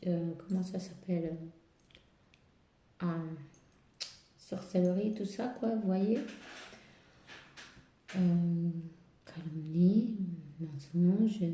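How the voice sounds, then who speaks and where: A woman with a slight cold and a hoarse voice, giving hesitant spiritual advice to viewers full of fillers and restarts. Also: fairly low pitch, mellow. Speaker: tarot and spiritual reader. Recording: roomy echo.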